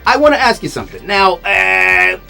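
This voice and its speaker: A man's voice: sits high, sing-song, normal speed, vocal fry, bright, forceful tone, soft background music. A man hosting a casual interview, gushing and repeating "you know what" while he talks. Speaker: talk show host playing a vampire count.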